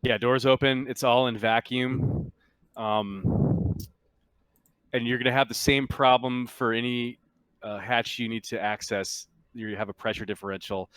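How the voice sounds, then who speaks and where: Smooth, dry-miked man running a tabletop game. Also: medium pitch, fairly even intonation, a bit bright, dry recording. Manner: halting, searching for words. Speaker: game master. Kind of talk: casual game narration and rules explaining.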